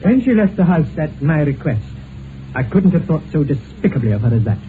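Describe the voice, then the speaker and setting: An actor in an old-time radio drama playing an earnest, sentimental gentleman doctor, speaking politely and gravely. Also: on the high side, big pitch swings, fairly quick. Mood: earnest, indignant. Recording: tinny, noisy old radio recording.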